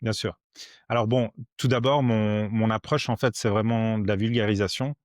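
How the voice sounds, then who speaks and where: A man in his forties from Switzerland, a podcast guest explaining things simply in conversation, haltingly and with repeated words. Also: lowish voice, fairly even intonation, no echo, clean studio sound. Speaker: podcast guest, crypto educator.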